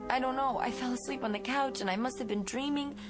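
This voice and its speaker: A woman's voice: high-pitched, fast talker, music in the background, close to an everyday voice. An actress playing an anxious, urgently questioning woman in a television psychological horror drama. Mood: uneasy. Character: anxious grown woman piecing together a buried childhood memory.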